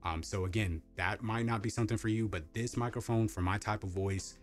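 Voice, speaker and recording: A young man with a deep voice casually reviewing a microphone, rambling with lots of fillers and restarts, in a home streaming setup. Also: a little fast, faint music behind. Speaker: tech reviewer.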